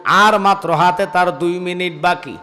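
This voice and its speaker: A Bangladeshi man preaching an Islamic sermon, telling stories in an impassioned, declamatory way with drawn-out, chanted phrases. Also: sits high, soft background music. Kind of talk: Bengali waz sermon, dramatic storytelling. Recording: long reverb from loudspeaker system.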